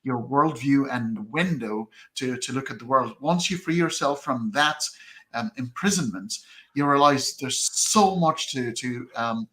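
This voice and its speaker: A man whose first language is Arabic, speaking as a guest in a casual conversation, thoughtful and halting with many restarts. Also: mid-range voice, expressive, a bit bright, rough recording.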